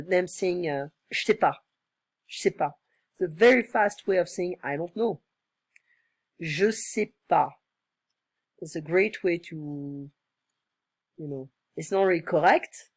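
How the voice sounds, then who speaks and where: A woman tutoring one-on-one, her voice slightly hoarse from illness, explaining patiently and repeating phrases. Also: lowish voice, dry recording. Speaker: French tutor. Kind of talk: one-on-one online language lesson.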